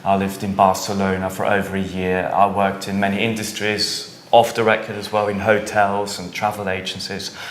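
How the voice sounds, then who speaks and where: A man's voice: low voice, completely flat delivery, moderate pace, few pauses, a little hiss or hum. A man from Switzerland in his thirties, a startup founder answering interview questions in a thoughtful way, with many fillers and tag questions. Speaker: startup founder and CEO guest. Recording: echoey room with faint ambience.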